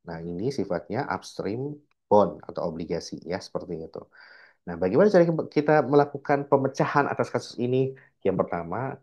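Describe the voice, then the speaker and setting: A man gives an informal recorded lecture in a fairly low voice. He explains step by step, posing rhetorical questions and answering them himself, with frequent check-in tags. The sound is a little muffled and lo-fi. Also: darkish tone. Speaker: accounting lecturer.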